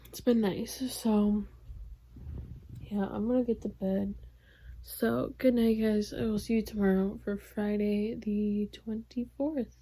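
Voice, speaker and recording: A young woman vlogging casually about her reading, rambling with lots of fillers, her voice going hoarse. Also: mid-range voice, steady tone, takes it slowly, poor audio. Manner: rambling, filler-heavy, soft-spoken. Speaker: book vlogger. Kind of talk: casual vlog chat.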